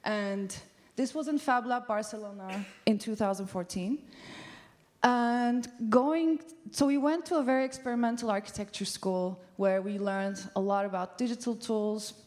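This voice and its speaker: Woman, 30s, from Turkey, festival stage talk, mid-pitched, casual with fillers. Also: fairly even intonation, moderate pace, a little mellow. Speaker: studio co-founder giving a festival talk. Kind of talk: conference stage presentation. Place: large festival venue stage.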